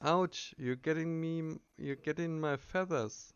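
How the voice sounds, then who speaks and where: A man's voice: on the high side, slow, smooth, dull audio. A man voicing a chubby talking bird merchant in a game, cheeky, grumbling and boastful. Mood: pained. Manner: grumbling, boastful salesman patter.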